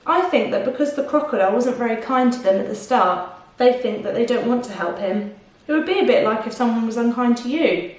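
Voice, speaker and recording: Expressive woman storyteller in an echoey room. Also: a little high. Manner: expressive, pausing to question young listeners. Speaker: teacher reading aloud to children. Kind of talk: children's storybook narration with asides. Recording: very echoey room, distant microphone.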